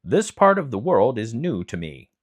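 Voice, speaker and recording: A man voicing a gentle male tin woodman in a raised, reassuring tone. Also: mid-range voice, very animated, moderate pace, dull audio, pitched above the performer's own voice.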